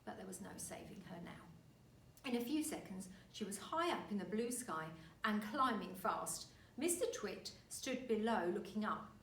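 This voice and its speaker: A woman reading a children's story aloud like a teacher, expressive and deliberate. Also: medium pitch. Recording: roomy, distant mic, faint noise.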